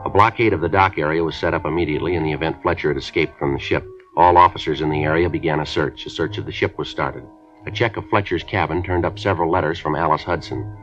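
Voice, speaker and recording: A deep, terse police detective. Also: male voice, quick pace, over music. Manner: clipped, flat, matter-of-fact. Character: male police detective, terse and matter-of-fact. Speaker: detective narrator. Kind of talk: 1950s radio drama narration. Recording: muffled old radio broadcast.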